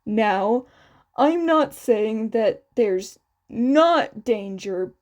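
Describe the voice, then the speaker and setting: A woman voicing a dry but caring teenage boy in a flat, deadpan delivery for a story reading. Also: on the high side, very slow. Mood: frank, serious.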